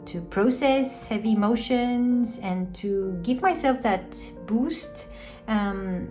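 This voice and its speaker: A woman whose first language is Romanian, talking casually and reflectively through her art journal pages, with many pauses and ums. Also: mid-range voice, dark tone.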